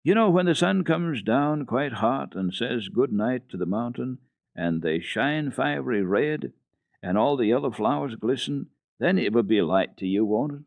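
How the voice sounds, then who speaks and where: A man's voice: mid-range voice, expressive, unhurried, gravelly, no room sound, voice pushed up. A man voicing an earnest, eager and kind little girl in a dramatic storybook reading. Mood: anxious.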